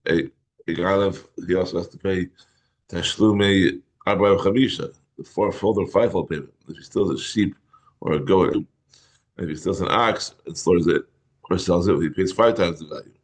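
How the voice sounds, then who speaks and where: A hoarse middle-aged man teaching a Talmud class in a halting way, often clearing his throat. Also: sits low. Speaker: Torah teacher.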